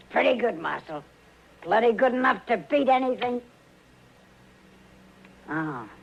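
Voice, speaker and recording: A woman's voice: fairly high pitch, expressive, slow, gravelly, lo-fi sound, heavily acted. An actress playing a bold, bossy, middle-aged frontier woman in a 1950s radio western, slinging folksy insults. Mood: good-natured. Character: brash, fiery frontier woman who never backs down. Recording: old radio recording with background hiss.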